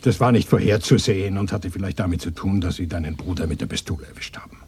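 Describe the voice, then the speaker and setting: An actor in a radio drama playing a bitter, probing former resistance fighter with a sardonic, interrogating manner. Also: male voice, low voice, fast, a little mellow, slightly rough. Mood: grave, insistent. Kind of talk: 1980s German radio drama dialogue.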